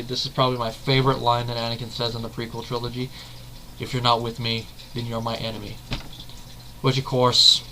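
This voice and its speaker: Man, casual video host, rambling, self-correcting, bright tone, faint background hiss. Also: mid-range voice, poor audio. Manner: rambling, leans on the filler "basically". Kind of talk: casual fan commentary.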